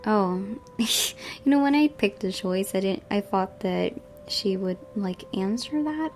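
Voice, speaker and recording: A woman's voice: medium pitch, lively intonation, unhurried, darkish tone, a little hiss or hum. A woman hosting a gaming video, reading visual novel narration expressively and adding casual asides.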